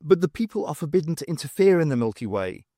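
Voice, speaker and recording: A man voicing a brisk, sceptical woman adventurer in an audiobook, with an impatient, incredulous manner. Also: a little high, sing-song, dark tone, no echo, clean studio sound.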